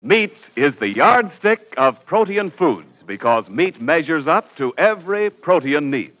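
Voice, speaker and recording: A man's voice: a little high, sing-song, measured pace. A male announcer delivering scripted narration in a polished, emphatic 1940s radio style. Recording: dull vintage radio recording.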